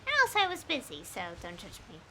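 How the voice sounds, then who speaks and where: A woman gives casual live commentary on a game in a fairly high, bright voice. She rambles and thinks aloud, her pitch moving in a lively way. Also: fast, a little hiss or hum. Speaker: game streamer.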